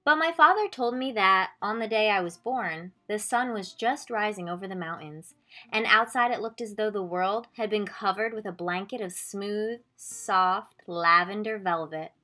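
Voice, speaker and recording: A woman voicing a quiet, dreamy, sincere little schoolgirl in a storybook. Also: mid-range voice, moderate pace, faint music behind, close to an everyday voice. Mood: earnest, dreamy.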